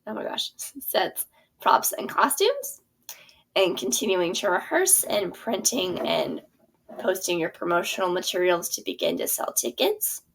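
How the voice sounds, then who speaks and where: A woman's voice: lowish voice, sing-song, unhurried, vocal fry, husky, poor audio. A young adult woman, a theater teacher, casually walking through her course plan in a chatty way with many ums and self-corrections. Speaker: theater teacher and curriculum creator. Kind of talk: casual explanatory product walkthrough.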